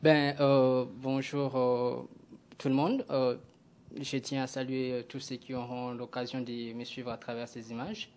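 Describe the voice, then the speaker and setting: Flat-voiced young man, hesitant. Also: medium pitch, a little slow, a little hiss or hum. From Kinshasa, DR Congo. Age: young adult. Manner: hesitant, many filler sounds. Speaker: guest, graduate student. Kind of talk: interview answers.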